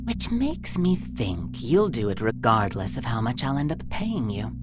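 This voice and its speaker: A woman's voice: rumbling low, big pitch swings, measured pace, background noise, heavily acted. A woman playing an imperious, mocking female crime boss in a visual novel, condescending and theatrical. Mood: smug. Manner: condescending, theatrical, drawling endearments. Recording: game music behind the voice.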